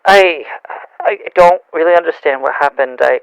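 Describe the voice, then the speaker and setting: Guy doing a nervous stammery young dude, croaky, easily exasperated, radio drama, super dry. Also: on the high side, normal speed, no room sound, rough recording. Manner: hesitant, stammering. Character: nervous, flustered, exasperated young male coworker. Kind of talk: audio drama acting.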